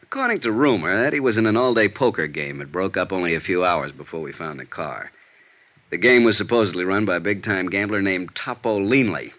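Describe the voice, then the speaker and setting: An American actor playing a gruff, matter-of-fact police detective sergeant in a vintage radio drama, reporting in terse deadpan. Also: male voice, sits low, fairly quick. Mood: dry, sardonic. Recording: muffled vintage radio recording.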